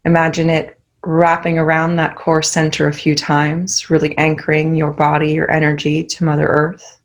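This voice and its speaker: A young woman leading a guided meditation, giving soft, soothing instructions. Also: sits low, monotone, normal speed.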